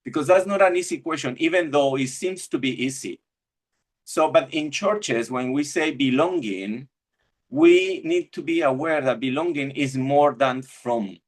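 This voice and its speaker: A man's voice: a little high, expressive, measured pace. Man giving a webinar talk. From Argentina. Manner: reflective, searching for words, restarts phrases. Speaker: webinar speaker. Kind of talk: presenting a church webinar talk. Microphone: video call.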